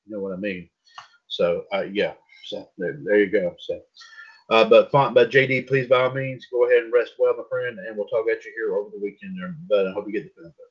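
A man's voice: lowish voice, little pitch movement, a little fast, husky, dull audio. A man hosting a casual wrestling talk show, rambling and repeating words and filler as he talks. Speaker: podcast host.

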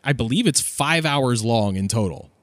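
A man speaks conversationally in a podcast discussion. His voice sits at a middle pitch with an even range, in a very dry recording. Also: normal speed, no echo.

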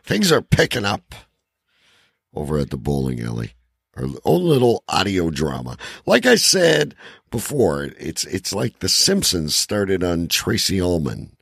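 A man co-hosting a podcast in casual chat, rambling and halting with theatrical emphasis, in a gravelly, husky voice. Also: low-pitched, wildly swooping pitch, fairly bright tone, dry recording, studio quality. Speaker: podcast co-host.

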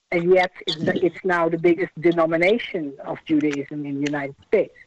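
A speaker in a radio interview, talking in a measured, halting way with many restarts. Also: medium pitch, darkish tone. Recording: muffled lo-fi radio audio.

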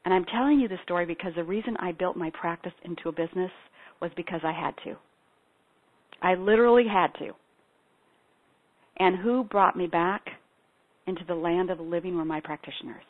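A woman's voice: fairly low pitch, a little fast, long pauses. A middle-aged woman, a spiritual practitioner and singer-songwriter, telling her personal story in an earnest, heartfelt way. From Ethiopia. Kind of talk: personal testimony talk. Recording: tinny phone-like sound.

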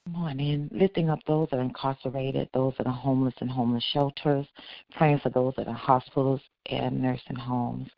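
Gravelly man praying on a call. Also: medium pitch, fairly quick, no room sound. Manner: steady prayer requests with small fillers. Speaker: caller. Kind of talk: spoken prayer on a call. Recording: muffled phone line, gravelly voice.

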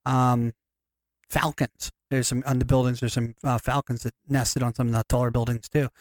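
A man's voice: medium pitch, flat delivery, normal speed, no echo. Man, podcast co-host, rambling, swearing, dry close sound. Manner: rambling, profane, many restarts. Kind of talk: casual chat.

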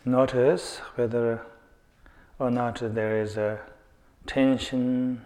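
Very slow, even-toned man guiding meditation. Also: medium pitch. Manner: unhurried, pausing between short phrases. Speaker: meditation guide. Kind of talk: guided meditation, slow instruction with chanting.

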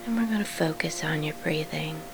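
Low, creaky, whispery woman guiding meditation. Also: brisk. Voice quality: breathy, often whispery. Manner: gentle, reassuring instruction. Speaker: meditation guide. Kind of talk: guided sleep meditation, hushed and unhurried. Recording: clearly audible background hum.

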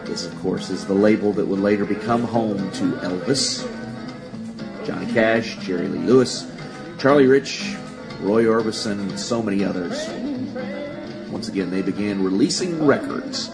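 A man's voice: on the low side, moderate pace, gravelly, poor audio. A man presenting a history segment as narration for listeners, with a showy, playful delivery. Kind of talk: scripted-style narration for listeners. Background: music with plucked guitar.

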